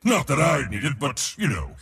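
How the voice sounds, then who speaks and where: A man playing an arrogant, boisterous war god in a video game, speaking in a bombastic, boastful way with a gravelly, raspy voice. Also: medium pitch, huge pitch swings, normal speed, a bit bright, long reverb tail, heavily acted. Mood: smug, conversational. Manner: bombastic, boastful, theatrical delivery. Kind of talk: video game hero voice lines.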